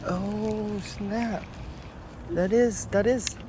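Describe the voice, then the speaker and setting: A man casually vlogging outdoors as he fishes, talking in an excitable, slangy way. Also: high-pitched, extremely slow. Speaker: fishing vlogger. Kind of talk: casual outdoor vlog chat. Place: outdoors beside a pond. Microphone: body-worn action camera. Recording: noisy outdoor action camera audio.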